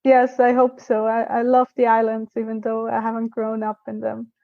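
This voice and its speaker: A woman's voice: fairly high pitch, completely flat delivery, normal speed, vocal fry, no echo, narrow, tinny audio. A woman who grew up in Switzerland, a scientist guest telling her story earnestly in an interview, with small restarts. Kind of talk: casual interview answers.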